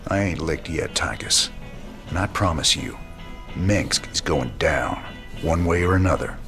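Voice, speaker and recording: A defiant frontier rebel speaks in a low American drawl with plenty of gravel. He is dry and determined, a brooding game hero, over clear background music. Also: expressive, measured pace, heavily acted. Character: brooding rebel outlaw, former frontier marshal, stubbornly defiant. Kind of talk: video game cinematic acting.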